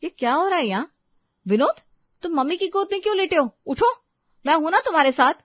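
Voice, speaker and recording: A woman voicing a sharp, domineering wife and mother in a family storybook tale, bossy and scolding. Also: medium pitch, lively intonation, a little fast, dry recording, muffled recording, lightly acted. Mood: angry, jealous. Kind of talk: dramatized storybook narration.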